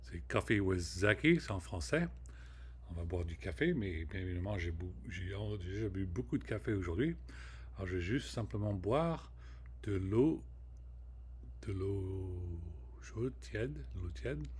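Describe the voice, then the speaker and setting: A man with a fairly low voice hosts his own show and talks in a halting, casual way, full of restarts and hesitations, often dropping English words mid-sentence. His speech stumbles and repeats. A faint hum of room ambience stays underneath. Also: expressive. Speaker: podcast host. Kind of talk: casual monologue to camera.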